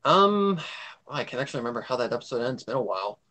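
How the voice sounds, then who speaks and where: Man, medium pitch, casual livestream chat, blunt, grumbly delivery. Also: sing-song, racing, bright, forceful tone. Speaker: livestream co-host.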